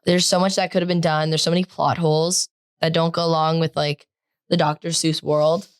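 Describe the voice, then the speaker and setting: A teenage girl co-hosting a podcast in casual chat, talking loudly and rambling with lots of "like" fillers. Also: low-pitched, level tone, fairly quick, clean tone, no echo, clean studio sound. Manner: loud, projecting, rambling with many "like" fillers. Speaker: podcast co-host.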